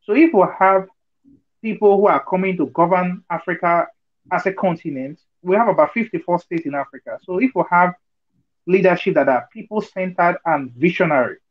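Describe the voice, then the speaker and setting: A man makes his case over a video call in a fairly high voice, earnest and argumentative, often stopping to ask if his listeners follow. The sound is dull and lo-fi, like compressed online call audio. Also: fairly quick. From Nigeria. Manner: earnest, argumentative, many fillers and rhetorical checks. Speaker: discussion participant. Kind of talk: online group discussion.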